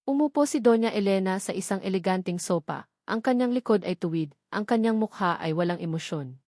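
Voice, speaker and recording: A woman's voice: mid-range voice, lively intonation, fairly quick, mellow, dry recording, studio quality. A woman narrator reading a scripted romantic drama in a storytelling style.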